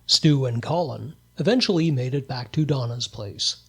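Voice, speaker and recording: A man narrating a comedy radio play as a deadpan storyteller, reading from a script. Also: mid-range voice, normal speed, slight background noise, lightly acted.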